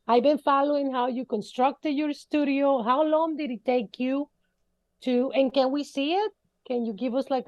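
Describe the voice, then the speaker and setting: A woman's voice: high voice, a little mellow, dry recording, muffled recording. A woman co-hosting an interview podcast, asking eager questions and giving short encouraging replies. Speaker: podcast co-host. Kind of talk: casual interview chat.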